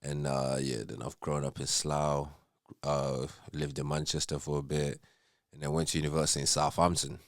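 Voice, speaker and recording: A young man from Zimbabwe speaks in a very low, deep voice. He rambles easily as a podcast guest, full of fillers and asides. The recording is close and dry, with no room sound. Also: moderate pace, no room sound. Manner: relaxed, rambling, full of fillers like "you know what I mean". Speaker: artist, guest. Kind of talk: casual podcast interview.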